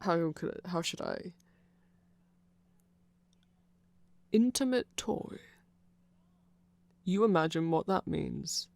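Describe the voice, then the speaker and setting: A performer voicing a nerdy, awkward, eager vampire friend in a rambling, hesitant ASMR roleplay, halting and self-conscious. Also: mid-range voice, sing-song, gravelly, no room sound, full character voice. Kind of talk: rambling ASMR roleplay, explaining fan lore to a listener.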